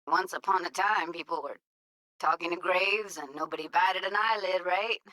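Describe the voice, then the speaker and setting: A woman's voice: fairly low pitch, moderate pace, sharp tone, no room sound, rough recording. An actress playing a tough, candid young woman technician in a video game, talking in a casual, slangy, blunt way. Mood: wistful, subdued. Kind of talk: naturalistic game voice acting.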